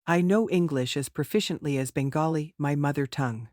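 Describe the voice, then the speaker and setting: A man's voice: on the high side, darkish tone, no room sound, studio quality. A man answering mock speaking-test questions in a formal, rehearsed way. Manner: formal, rehearsed, measured delivery. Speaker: test candidate.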